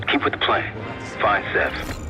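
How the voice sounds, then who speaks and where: A man's voice: measured pace, over music, natural voice. A man playing a terse, watchful covert operative in a video game, with a clipped, dry manner. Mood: focused, dry. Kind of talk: video game cutscene acting.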